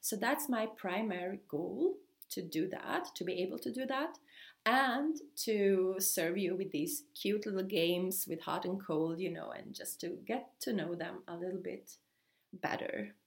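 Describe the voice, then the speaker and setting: A young woman speaking English with a Swedish accent, talking through a solo podcast intro in an eager, chatty way. She stops often for "um" and "uh" and restarts her sentences. Her voice sits at a medium pitch and has a noticeable gravelly fry. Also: a little slow. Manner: chatty and excited, many fillers and restarts. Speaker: podcast host.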